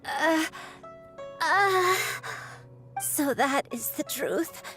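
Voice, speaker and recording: Slow, tender game grandmother. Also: high voice, lively intonation, bright, forceful tone, soft background music, exaggerated voice. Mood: dazed, shaken. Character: gentle grandmother regaining lost memories, tender, emotional. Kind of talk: video game voice acting.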